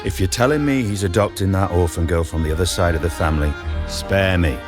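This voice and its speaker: An actor playing a jaded family member in a video game, trading gossip in a blasé, conversational way. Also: male voice, sits low, a little fast, over music, lightly acted.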